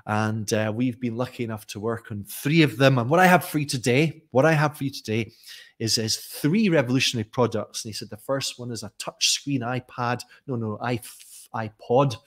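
Soft East Coast Scottish man, chatty. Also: mid-range voice, normal speed. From Scotland. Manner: chatty, animated storyteller with frequent restarts. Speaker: teacher trainer. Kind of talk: live-streamed teaching talk. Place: bright home room with tall windows. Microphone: desk mic with headphones.

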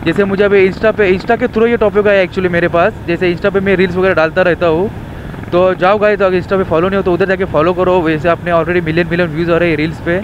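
A man's voice: high voice, steady tone, a little fast. A young man, chatting casually and rambling as he rides, mixing in English, recorded on a helmet camera. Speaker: motovlogger. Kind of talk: casual chat while riding. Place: on a motorbike on city roads. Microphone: helmet action camera. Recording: dull lo-fi camera audio with faint traffic noise.

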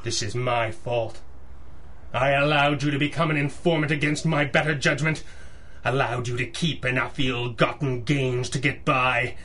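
Guy doing a stern vigilante hero in a radio drama, medium pitch, bossy, slight noise behind. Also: steady tone, normal speed, fairly bright tone, close to an everyday voice. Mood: angry. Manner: controlled, commanding. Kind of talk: pulp radio drama acting.

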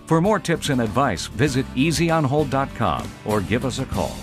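A man reading a scripted instructional narration in a clear, structured, promotional explainer manner. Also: medium pitch, big pitch swings, moderate pace, soft background music. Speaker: tutorial narrator.

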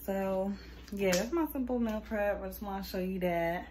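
A woman's voice: medium pitch, normal speed, darkish tone, a little hiss or hum. A young adult woman with an American accent, chatting casually to camera in an informal, slangy way as a vlogger.

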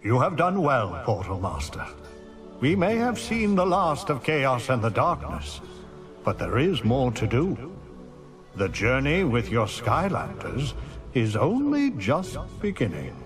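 A wise old wizard speaks slowly and grandly, with sweeping rises and falls. Loud orchestral-style game music swells behind his solemn, earnest counsel. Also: medium pitch, wildly swooping pitch. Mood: solemn, urging. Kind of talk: epic fantasy game narration.

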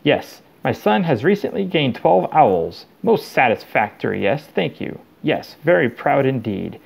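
A man's voice: medium pitch, sing-song, a little slow, creaky, a little mellow, breathy edge, heavily acted. A man voicing a deluded older official who fluently and officiously gabbles orders to nobody. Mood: brisk, self-satisfied.

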